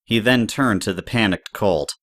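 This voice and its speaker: A woman's voice: very deep voice, normal speed, dry recording, clean studio sound. A woman narrator reading scripted story prose for an audio drama.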